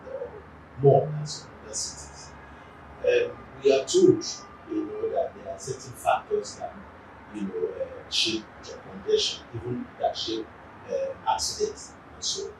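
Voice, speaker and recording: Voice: mid-range voice, far from the mic, noisy background. A TV interviewer asking long, winding questions padded with fillers. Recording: echoey room, voice off-mic.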